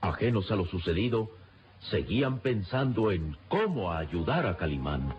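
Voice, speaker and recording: A male actor narrating an adventure radio serial in a solemn, measured and suspenseful manner. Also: low voice, very animated, a little hiss or hum. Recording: dull lo-fi radio, loud music behind.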